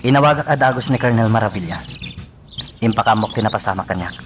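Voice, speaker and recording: A man's voice: fairly low pitch, animated, fast, lightly acted. A man with an Ilocano accent playing an earnest young man on a secret mission in a radio drama. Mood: earnest, troubled. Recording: distant mic, noisy, birdsong behind.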